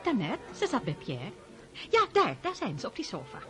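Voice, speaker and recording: Animated, genteel society mother. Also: a little high, moderate pace, over music. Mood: sociable. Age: middle-aged. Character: genteel aristocratic princess, a sociable mother of grown children. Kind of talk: radio play acting.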